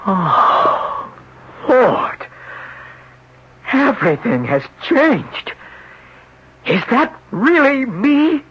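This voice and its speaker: A man's voice: very high-pitched, sing-song, drawn-out words, pauses often, slightly rough, heavily acted. An actor playing a young man who wakes up in a rich stranger's body, talking in an anxious, bewildered way, and also narrating. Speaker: narrator and lead. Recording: dull old radio broadcast with faint hiss.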